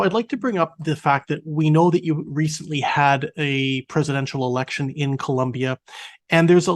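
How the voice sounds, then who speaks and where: A male interviewer with a fairly high voice and a French first language asks long, politely framed questions. His delivery is that of a practiced host steering a business conversation.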